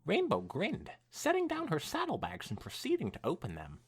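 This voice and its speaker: A young man hosting a podcast, reading fan fiction aloud in an animated way mixed with casual chat. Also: fairly high pitch, moderate pace, slightly rough.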